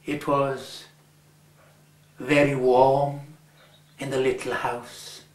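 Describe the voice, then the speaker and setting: Slow, measured Welsh storyteller. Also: male voice, medium pitch, flat delivery. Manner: measured, lingering on words. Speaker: reader. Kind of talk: storybook reading. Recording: roomy echo.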